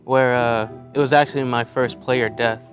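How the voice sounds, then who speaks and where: A man's voice: medium pitch, steady tone, normal speed. A man in his twenties speaking hesitantly in a casual interview, with frequent "uh" and "um". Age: 20s. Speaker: club member. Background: guitar music.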